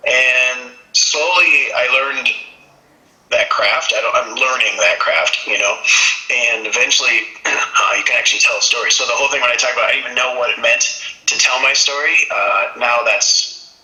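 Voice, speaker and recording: A man from Minnesota, a singer-songwriter guest, talking in a relaxed, reflective way about his work. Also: mid-range voice, moderate pace. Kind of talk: casual interview. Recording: echoey room.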